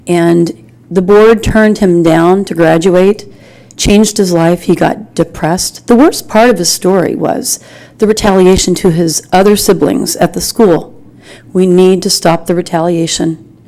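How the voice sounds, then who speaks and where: Mellow, earnest American woman speaking publicly. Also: lowish voice, steady tone, a little slow, low-quality recording. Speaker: community member speaking in public comment at a school board meeting. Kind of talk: earnest, informal public address. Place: public meeting room.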